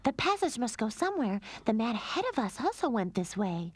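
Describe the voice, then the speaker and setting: Woman as a very lively, bold young mouse girl. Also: fairly high pitch, brisk, slight background noise. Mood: impatient. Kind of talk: cartoon dub acting.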